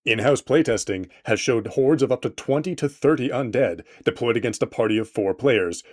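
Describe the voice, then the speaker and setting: A man's voice: medium pitch, a little mellow. Man narrating a playful scripted promo. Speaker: game creator presenting an introduction video.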